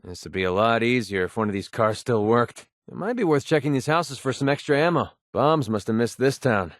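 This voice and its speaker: A man's voice: medium pitch, lively intonation, brisk, no room sound, clean studio sound, lightly acted. A man playing a cynical, wisecracking young mercenary sniper in a video game, speaking in an offhand, grumbling, sardonic way. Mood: dry, watchful. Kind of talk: video game companion dialogue.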